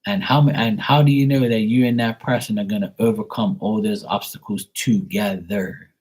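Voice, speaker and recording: Husky-voiced man ranting bluntly. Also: lowish voice, steady tone, moderate pace, darkish tone. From Bermuda. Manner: blunt, profane, rambling, acts out quoted voices. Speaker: live stream host. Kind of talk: casual rant on a live stream.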